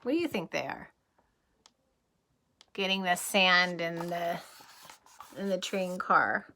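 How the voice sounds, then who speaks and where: Bright-voiced teacher reading a picture book slowly. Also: female voice, fairly low pitch, a little hiss or hum. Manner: slow, sing-song rhyming reading with gentle questions to children. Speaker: kindergarten teacher. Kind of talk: picture-book read-aloud for young children.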